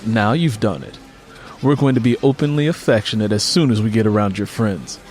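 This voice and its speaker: A man's voice: lowish voice, fairly quick, a little mellow, soft background music, close to an everyday voice. A man voicing an easygoing, teasing husband and father in an audiobook story.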